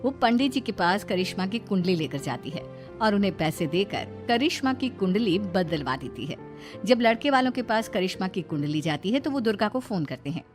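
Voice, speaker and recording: A woman's voice: medium pitch, big pitch swings, brisk, faint music behind. A woman storyteller reading scripted narration for a cartoon moral tale.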